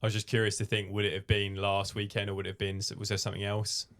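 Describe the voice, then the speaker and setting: A man co-hosting a podcast, asking short questions and chiming in with quick yeahs. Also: lowish voice, completely flat delivery, a bit bright. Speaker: podcast co-host. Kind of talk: casual podcast chat.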